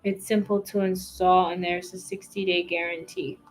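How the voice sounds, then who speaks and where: A woman's voice: on the low side, level tone, normal speed, poor audio. A woman hosting a casual livestream, chatting and reading viewer comments in a rambling way with many fillers. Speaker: livestream host.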